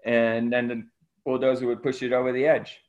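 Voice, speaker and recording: A man's voice: medium pitch, level tone, a little fast, a little mellow. A middle-aged man from Ecuador, an interview guest telling his story conversationally, on a slightly dull recording. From Ecuador, raised by missionary parents. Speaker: interview guest, missionary aid worker.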